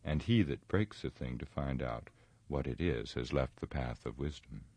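A man as a very deep, grave old wizard. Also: darkish tone, slightly rough, no echo, full character voice. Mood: dry, reproving. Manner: grave, measured, firm. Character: weary, wise old male wizard recounting his journey. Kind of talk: dramatic audiobook reading of fantasy.

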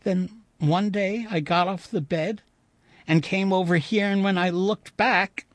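A man voicing the timid, plaintive ghost of a little girl in a storybook reading, childlike and halting in speech. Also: sits high, steady tone, no room sound, voice pushed up. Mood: plaintive, wistful. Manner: childlike, halting, stammering when upset.

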